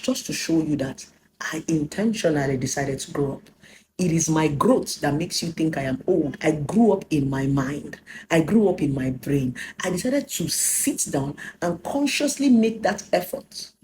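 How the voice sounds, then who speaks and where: A woman's voice: sits low, noisy background. A Nigerian woman in her thirties giving an emphatic personal talk, preaching her lessons and slipping into Pidgin. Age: 30s. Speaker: relationship speaker.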